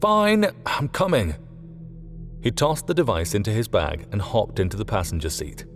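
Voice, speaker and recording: Low-voiced man narrating, lively intonation. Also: fairly quick, music in the background. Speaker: audiobook narrator. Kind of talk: scripted fiction narration.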